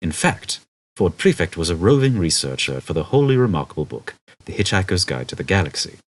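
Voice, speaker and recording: Husky low-voiced male audiobook narrator. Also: very animated, a little fast. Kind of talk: expressive scripted narration of a comic novel.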